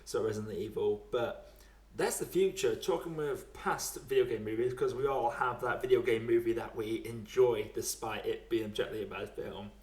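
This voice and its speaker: Guy hosting a podcast, casual sweary chat, mid pitched voice, roomy echo. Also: moderate pace, darkish tone. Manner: sweary, informal. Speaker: podcast host. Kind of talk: casual podcast chat about films and games. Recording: echoey room sound.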